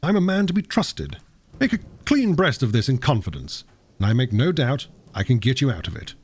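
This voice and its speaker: A man voicing a sober, loyal Victorian gentleman lawyer in an audiobook, firm and formal, with a husky voice. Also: medium pitch, big pitch swings, a little fast. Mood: urging, loyal.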